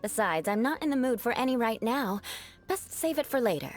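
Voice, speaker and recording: A haughty young aristocrat for a video game. The speech is formal and precise, rather high in pitch with expressive movement. Music plays softly behind the proud, theatrical delivery. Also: female voice, a little fast. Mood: composed, haughty.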